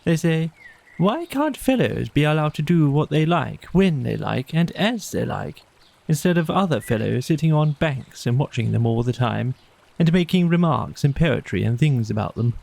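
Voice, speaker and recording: A man's voice: fairly high pitch, very animated, moderate pace, creaky, a little mellow, voice pushed up. A man voicing a cheerful, good-natured storybook water rat with a breezy, chatty and enthusiastic manner. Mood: cheerful, mocking. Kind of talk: storybook character voice in an audio play.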